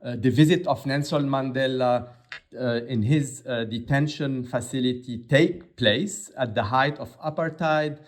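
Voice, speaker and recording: A man from Lebanon, the head of an aid agency, answering interview questions in a halting way with many filler sounds. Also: mid-range voice.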